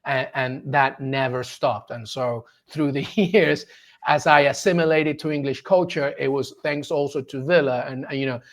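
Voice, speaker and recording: A man in his forties from Peru, a sports journalist telling his story as an interview guest in an animated, enthusiastic way, quick to laugh. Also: medium pitch. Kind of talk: casual storytelling in a conversation.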